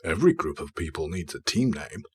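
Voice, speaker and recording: A hulking young mutant, played by a man, speaks thoughtfully in an audio drama. The voice is deep and extremely husky. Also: lively intonation, a little fast, darkish tone, exaggerated voice.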